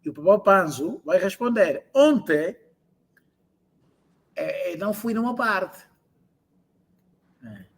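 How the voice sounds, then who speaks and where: A man teaching an online language class, explaining and translating phrases and repeating them slowly for learners. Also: high-pitched, expressive, slightly rough.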